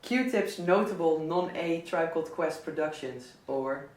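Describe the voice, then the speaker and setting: A man with a southern Dutch accent talking casually about favourite records, halting often with fillers and restarts. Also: on the high side. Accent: Dutch, southern Brabant. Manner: hesitant, many fillers and restarts. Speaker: DJ and record selector. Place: indoor listening session. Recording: echoey room, mic at a distance.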